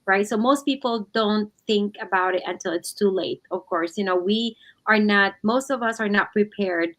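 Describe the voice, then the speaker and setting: A woman's voice: mid-range voice, normal speed. A woman co-hosting a casual livestream, talking chattily and often adding "you know" and "right". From Philippines. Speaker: livestream co-host.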